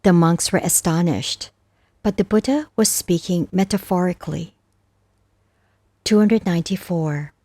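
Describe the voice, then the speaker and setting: A woman reading scripture as scripted narration, reciting verses with measured, deliberate phrasing. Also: on the low side, dark tone, dry recording. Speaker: narrator of a Buddhist scripture audiobook.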